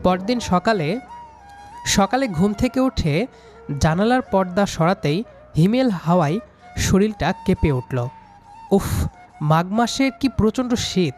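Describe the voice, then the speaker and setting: A man's voice: sits high, expressive, a little slow, pauses often, darkish tone. A young man reading a scripted first-person story, acting out his own lines of dialogue. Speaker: story narrator playing the student hero. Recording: music under the voice. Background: background music.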